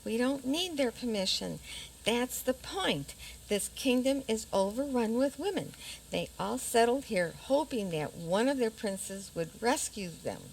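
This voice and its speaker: A woman acts out a defiant, scheming princess in a radio play. The voice is gravelly at the edges and very animated, with a pointed, assertive delivery. Faint noise sits behind it. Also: a little high, a little slow, low-quality recording. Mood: determined. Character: blunt, scheming, defiant fairy-tale princess. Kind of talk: community radio theater acting.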